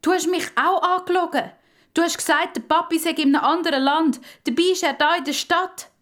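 Woman playing an earnest, high-pitched young Swiss girl. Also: steady tone, a little fast, fairly bright tone. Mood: accusing. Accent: Swiss German. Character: young girl, earnest, hurt but outspoken.

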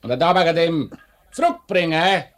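Impatient factory boss, fairly high voice, Swiss German, brusque, dismissive, unbending, 1950s radio drama. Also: male voice, very animated, fairly quick, fairly bright tone. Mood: irritated, dismissive. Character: curt, unbending, impatient male factory superior.

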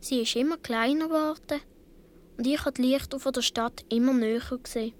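A woman's voice: sits high, a little fast, lightly acted. A woman playing a seven or eight year old Swiss boy, telling his story earnestly with a Swiss German accent in a radio play. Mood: wistful, recounting.

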